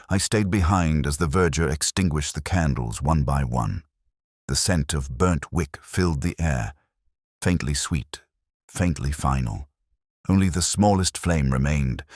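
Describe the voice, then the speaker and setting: A man playing a weary, reflective older police detective, narrating a detective drama in a measured, literary, melancholic way. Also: extremely low pitch, no echo, clean studio sound, exaggerated voice. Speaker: first-person narrator.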